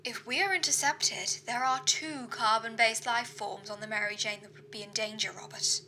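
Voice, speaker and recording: Actress as dutiful female AI of a starship: bright, fairly high, formal and exact, audio drama. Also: steady tone. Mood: cautious. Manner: formal, measured reporting. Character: female ship's computer AI, precise, dutiful, courteous. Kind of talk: science fiction audio drama acting. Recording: faint background noise.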